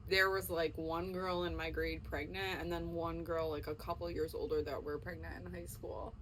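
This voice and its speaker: A woman's voice: on the low side, level tone, moderate pace, a bit bright, a little hiss or hum, rough recording. A young woman co-hosting a casual chat podcast, chatty and giggly, with jokey asides. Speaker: podcast co-host.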